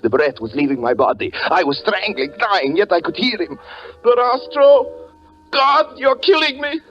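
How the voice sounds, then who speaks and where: An old, muffled radio recording with music behind carries a haunted magician, wildly varied in pitch. He is fervent and theatrical, moving from hushed confession to anguished cries in classic 1940s suspense style. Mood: frantic, horrified. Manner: melodramatic, swinging between hushed narration and anguished cries. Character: tormented stage magician husband, haunted and fervent, recounting his story.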